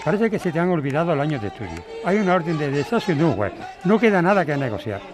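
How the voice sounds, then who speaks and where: Casting: actor as impatient middle-aged male lawyer, condescending, quick delivery, audio drama with street crowd behind. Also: fairly high pitch, sing-song, over music. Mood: impatient, defensive. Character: stubborn, dismissive corporate lawyer, father of an activist.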